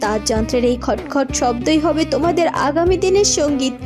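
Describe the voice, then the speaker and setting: A woman's voice: sits high, lively intonation, normal speed, over music. A woman playing a compassionate but stern young princess in a fairytale cartoon, with a solemn, declamatory delivery. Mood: firm. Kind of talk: children's fairytale cartoon acting.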